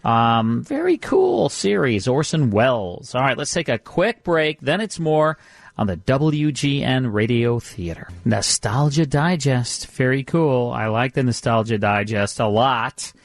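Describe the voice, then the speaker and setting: A man's voice: medium pitch, expressive, unhurried, creaky, dry recording, studio quality. An American man hosting a radio show, chatting casually and reacting readily to his co-host. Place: radio studio.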